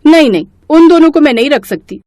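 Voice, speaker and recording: A woman's voice: sits high, lively intonation, quick pace, mellow, dry recording, studio quality, voice pushed up. A woman voicing a heartless, bossy daughter-in-law in a curt, scolding storybook style. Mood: refusing. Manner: curt, commanding. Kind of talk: storybook character acting.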